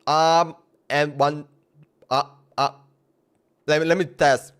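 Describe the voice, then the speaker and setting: Higher-voiced man casually live streaming. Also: steady tone, takes it slowly, fairly bright tone, clear, resonant tone.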